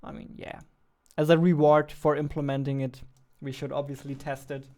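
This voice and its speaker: A man in his twenties, a non-native English speaker, thinking aloud in casual, unhurried live coding commentary. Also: fairly high pitch, little pitch movement, darkish tone. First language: not English. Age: 20s. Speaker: indie game developer, streamer. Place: small indoor room at a computer.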